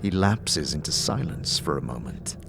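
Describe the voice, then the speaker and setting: A man narrating a dark fantasy audio drama in a solemn, measured, dramatic way. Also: rumbling low, big pitch swings, gravelly, background noise, exaggerated voice. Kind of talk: scripted second-person audio drama narration. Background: music.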